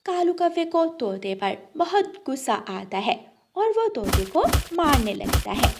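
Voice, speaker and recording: A woman narrates a scripted story for children. Her voice is very high, and her intonation moves up and down in a lively way. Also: unhurried, vocal fry, noisy background.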